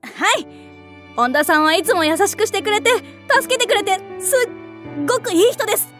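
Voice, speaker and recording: A woman's voice: very high-pitched, lively intonation, moderate pace, music in the background. A woman playing an oblivious, earnest and bubbly young female office worker with a cute, childlike way of talking in a voice drama. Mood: cheerful, eager. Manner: earnest, refers to herself in third person. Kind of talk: Japanese voice drama, anime-like acting.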